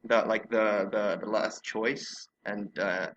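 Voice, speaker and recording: A man's voice: lowish voice, fairly even intonation, slow, fairly bright tone, highs cut off, a little hiss or hum. A man hosting a casual podcast discussion in a teasing, mock-exasperated manner. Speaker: podcast host.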